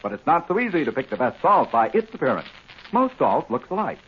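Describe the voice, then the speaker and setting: Male 1940s American radio pitchman, highish husky voice, emphatic delivery, on an old dulled recording. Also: very animated, a little fast, highs cut off. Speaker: announcer and sponsor pitchman.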